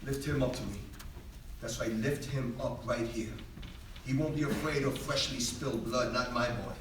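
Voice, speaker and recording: A man's voice: medium pitch, moderate pace, close to an everyday voice. A man playing a proud, doomed Greek warrior and father, declaiming with command in a staged reading of a tragedy. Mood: proud, solemn. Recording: echoey theater, distant mic, faint noise.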